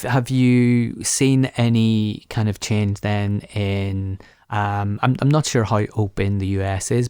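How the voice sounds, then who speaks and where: Male podcast interviewer, lower register, conversational and tentative, very dry close sound. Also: a little mellow, smooth, no echo.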